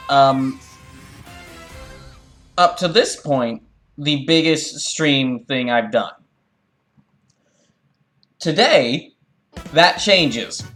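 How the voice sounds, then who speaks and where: Higher-voiced man streaming over music. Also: a bit bright. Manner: halting, rambling, self-correcting. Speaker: game streamer. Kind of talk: casual livestream talk to chat.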